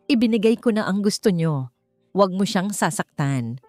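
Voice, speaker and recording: A woman voicing a firm, protective young male lover in an audio romance, steady and pleading. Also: mid-range voice, normal speed, no room sound, lightly acted. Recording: music behind the voice.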